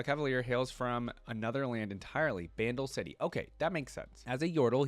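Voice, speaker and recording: A man's voice: medium pitch, no echo. A man hosting a reaction video, reading lore aloud in a casual way with musing asides. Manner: musing asides between read-aloud passages.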